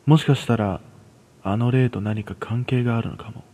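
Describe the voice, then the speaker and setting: A man voicing a serious, cautioning young woman who senses spirits, in a hushed horror story. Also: medium pitch, normal speed, close to an everyday voice. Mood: concerned. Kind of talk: radio horror story dialogue.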